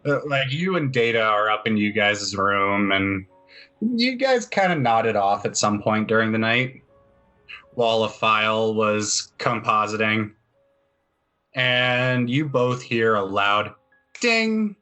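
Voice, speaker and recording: A man's voice: lowish voice, expressive, slow pace, pushed and bright. A man working as game master, narrating a tabletop roleplaying game in a casual, conversational way. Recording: music behind the voice.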